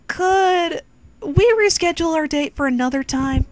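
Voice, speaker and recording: A woman's voice: very high-pitched, unhurried, voice pushed up. A woman voicing a suave but flustered, exasperated young man in a comedic fan fiction reading. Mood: uneasy, evasive. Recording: quirky music behind.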